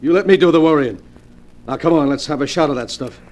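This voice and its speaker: A man's voice: fairly high pitch, fast talker, a little mellow, lightly acted. A man playing a hard-boiled hunted crook. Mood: menacing. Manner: hard-boiled gangster talk. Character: tough hunted male crook, ruthless, power-hungry. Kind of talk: 1940s radio acting.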